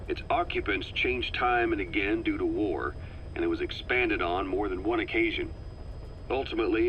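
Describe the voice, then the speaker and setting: Man playing a low-voiced military briefer, muffled. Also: lightly acted. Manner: measured, explanatory. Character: serious military intelligence officer briefing an agent. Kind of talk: video game voice acting, scripted intel briefing. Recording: dull lo-fi tape, faint noise.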